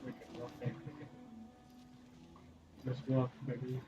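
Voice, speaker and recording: Female streamer, slow casual asides during play, muffled sound under loud game music. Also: extremely low pitch, completely flat delivery, heavy background noise. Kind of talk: casual reactions while gaming.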